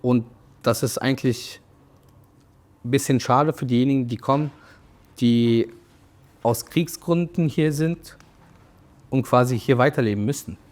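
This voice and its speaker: A man's voice: mid-range voice, unhurried, pauses often, darkish tone. A young man from Syria, a shop owner and referee, speaking reflectively in a documentary interview with fillers and restarts.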